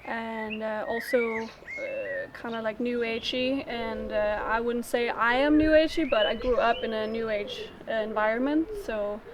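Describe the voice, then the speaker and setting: A woman from Denmark telling her personal story in an interview, in a halting, thinking-aloud way. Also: a little high, steady tone, crowd noise.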